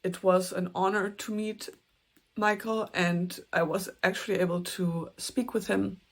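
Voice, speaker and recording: A young woman with a German accent, chatting earnestly to camera about books she plans to read, with a few soft, self-conscious laughs. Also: mid-range voice, fairly even intonation, a little slow. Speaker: booktuber. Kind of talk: casual book talk to camera.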